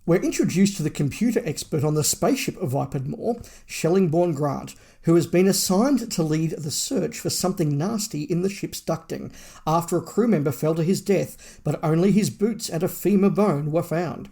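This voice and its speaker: A man reads a prepared story summary for a review podcast. His voice sits somewhat high for a man and has a clear creak running through it. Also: expressive, moderate pace, mellow. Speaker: podcast host.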